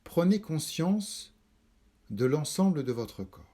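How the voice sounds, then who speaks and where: A man leading a guided yoga nidra meditation, calm and measured, instructing in short spaced phrases. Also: fairly high pitch. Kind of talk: slow guided relaxation meditation.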